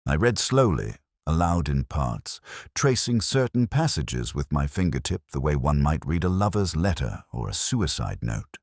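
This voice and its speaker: A man narrating a first-person detective story in a measured, literary style, brooding, deliberate and understated in his delivery. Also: extremely low pitch, very animated, a little mellow, no echo, clean studio sound.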